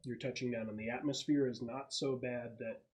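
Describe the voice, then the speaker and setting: A man's voice: mid-range voice, fairly even intonation, normal speed, a little mellow. A man acting as game master, explaining the scene to players in a casual, conversational way.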